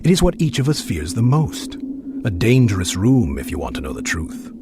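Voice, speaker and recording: An actor playing a sinister, silky magician's mechanic in an audio drama, speaking in a formal, ominous, insinuating manner. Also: male voice, on the low side, big pitch swings, moderate pace, noisy background. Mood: ominous relish.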